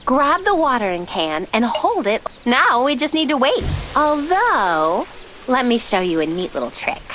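A woman's voice: fairly high pitch, sing-song, unhurried, fairly bright tone, a little hiss or hum. A woman voicing a cheerful young female doll who guides children through a game in a bubbly, encouraging, scripted way. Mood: friendly, instructive.

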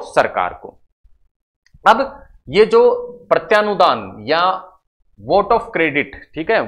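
A male teacher with a slightly high voice gives a classroom lecture. He explains step by step, putting questions to his students and answering them himself. Key phrases come back again for emphasis. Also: fairly bright tone. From India. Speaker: teacher for competitive exam classes. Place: indoor studio classroom in front of a digital board. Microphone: clip-on lapel microphone.

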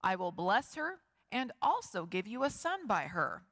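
A middle-aged woman preaches from a lectern in a large wood-paneled sanctuary, her voice sitting at an ordinary middle pitch. She reads and speaks in a measured, expressive way, leaning on slow pauses for emphasis. A long hall reverb trails behind every phrase. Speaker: pastor. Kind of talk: scripture reading and sermon. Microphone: lectern and stand microphone, far camera.

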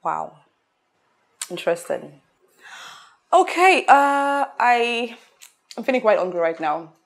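A woman's voice: a little high, very animated, slow, creaky, heavily acted. An actress playing a haughty, hot-tempered rich woman who scolds and repeats her questions emphatically in a film drama. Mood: haughty, demanding. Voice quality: loud, shouting when angered. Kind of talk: low-budget film drama acting.

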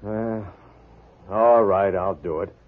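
An actor playing a gruff, grudging police detective in a 1940s radio drama. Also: male voice, fairly low pitch, fairly even intonation, slow. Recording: tinny 1940s radio recording.

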